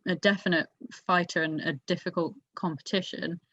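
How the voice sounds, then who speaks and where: A woman's voice: on the low side, fairly even intonation, normal speed, fairly bright tone, dry recording, dull audio. A woman chatting casually as a panellist on a motorsport show, giving emphatic, opinionated takes. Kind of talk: casual group discussion.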